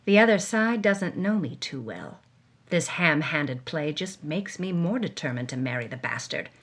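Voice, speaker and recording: A woman's voice: deep voice, lively intonation, moderate pace. An actress playing a hard-bitten, world-weary woman reading her journal with wry, dramatic emphasis, as first-person audio drama narration. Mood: weary, defiant.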